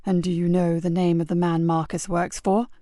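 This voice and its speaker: A woman voicing a kind male police sergeant who speaks in a calm, low, reassuring way. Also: normal speed, no echo, clean studio sound, lightly acted. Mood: soothing.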